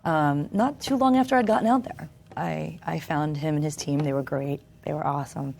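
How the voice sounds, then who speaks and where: A woman's voice: deep voice, normal speed, vocal fry, darkish tone, slight background noise. A woman actress talking as a guest on a TV interview show, earnest, with fillers and restarts. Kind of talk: casual interview talk. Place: television studio.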